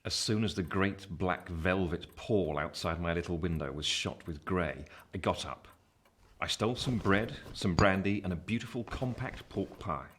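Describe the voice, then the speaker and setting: An actor playing a grown man who narrates his fearful boyhood in a radio play, measured and literary, with an English accent. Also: low voice, a bit bright. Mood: wry, measured. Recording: noisy home recording.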